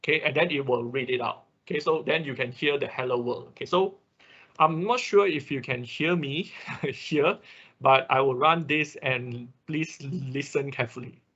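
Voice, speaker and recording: Husky, bright-voiced male online instructor. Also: mid-range voice, highs cut off. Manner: halting, step-by-step explaining with frequent check-in tags. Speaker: instructor of an online robotics class. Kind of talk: informal software tutorial with live demonstration.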